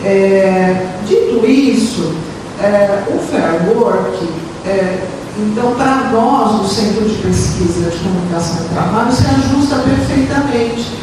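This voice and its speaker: A woman with a Brazilian Portuguese accent giving an academic panel talk as a professor, explaining haltingly and often checking for agreement with short tags. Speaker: university professor and researcher. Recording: echoey, reverberant room, distant mic, noisy rumble.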